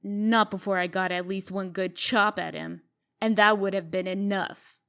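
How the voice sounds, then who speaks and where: A woman performs a young heroine of a comic dub in a medium-pitched voice. She is brisk and proud, quick to assert herself. The delivery is feisty and indignant. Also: fairly even intonation, gravelly, fairly bright tone, clean tone. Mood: determined.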